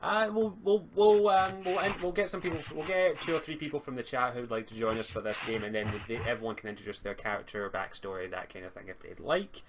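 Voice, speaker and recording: A man's voice: mid-range voice, quick pace, hardly any pauses. A man acting as game master and host, casually explaining tabletop game rules. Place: online group game session, streamed. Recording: noticeable background noise.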